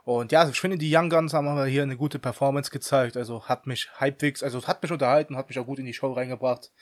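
A young man talks in a loose, chatty way as a podcast co-host. He laughs easily and drops English slang into his talk. His voice sits at a middling pitch, and the recording is dry and close with no room sound. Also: fairly even intonation, fast talker, no echo. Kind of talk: casual chat.

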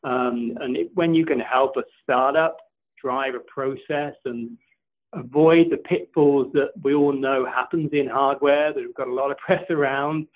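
A man's voice: mid-range voice, steady tone, measured pace. A middle-aged man, a company co-founder, talking casually in an interview, rambling and repeating words with frequent laughs. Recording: tinny phone-like line.

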